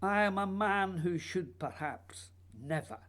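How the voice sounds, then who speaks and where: An actor playing an earnest, restless writer, needy and intense, in a theatrical, pleading radio drama style. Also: male voice, high-pitched, expressive, unhurried, fairly bright tone. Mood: restless, brooding. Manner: theatrical, pleading, literary phrasing. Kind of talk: radio play acting.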